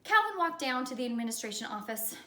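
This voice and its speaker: A woman reading a children's story aloud in an expressive, teacherly storytelling way. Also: high voice, fast. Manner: expressive storytelling with character dialogue. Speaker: elementary school teacher. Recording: echoey room.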